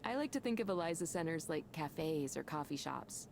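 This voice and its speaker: Low-voiced, upbeat woman supervisor. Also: lively intonation, creaky, slight background noise. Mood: upbeat, instructive. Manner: brisk, reassuring corporate orientation patter. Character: chatty upbeat female supervisor at a corporate counseling center. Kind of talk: visual novel game voice acting.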